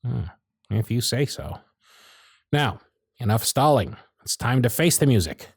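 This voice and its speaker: A man's voice: medium pitch, lively intonation, a little slow, gravelly, breathy edge, no echo, clean studio sound, full character voice. A man playing a proud, sardonic but protective supervisor in a scripted ASMR roleplay, with theatrical, exasperated phrasing. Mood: sincere, gentle. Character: proud, sardonic male workshop supervisor, protective of his intern. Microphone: close studio mic, dry.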